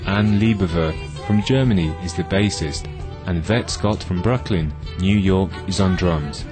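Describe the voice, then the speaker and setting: Male narrator, low register, scripted documentary profile read with music underneath. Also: measured pace. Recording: music behind the voice.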